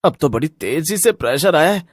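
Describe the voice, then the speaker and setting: A man's voice: a little high, lively intonation, moderate pace, no echo, clean studio sound. A man voicing a silly, film-loving ordinary fellow with theatrical exclamations in a Hindi cartoon story. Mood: carefree, delighted. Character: carefree, silly film-loving man who turns frightened.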